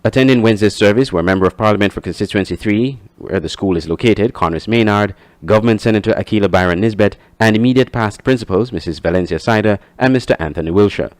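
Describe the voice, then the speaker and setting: A man's voice: lowish voice, quick pace, few pauses. A man reading scripted news narration as a reporter. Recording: dry close studio voice-over.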